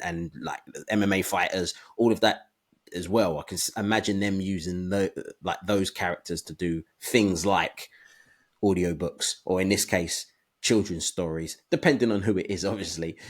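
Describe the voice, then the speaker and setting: A man's voice: sits low, normal speed. A man co-hosting a casual podcast discussion, thinking aloud hesitantly in broken phrases. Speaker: podcast co-host.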